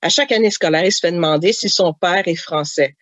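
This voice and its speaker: A woman's voice: lowish voice, brisk, no echo. A woman with a Québécois accent, a novelist and mother, telling anecdotes in a podcast interview, chatty with many fillers and laughs.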